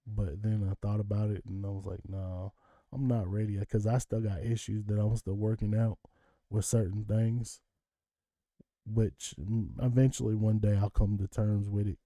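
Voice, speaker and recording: Dark-voiced Southern man chatting. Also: on the low side, no echo. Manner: rambling, chuckles often. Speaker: vlogger. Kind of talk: casual monologue.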